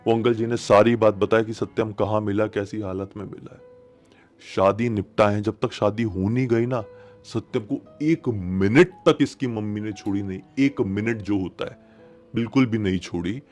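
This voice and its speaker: Male horror storyteller, lower pitch, conversational, suspenseful, emphatic repetition, background music. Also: moderate pace, a little mellow. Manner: colloquial, suspenseful, repeats phrases for emphasis. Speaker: horror storyteller, channel host. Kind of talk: conversational dramatic horror narration.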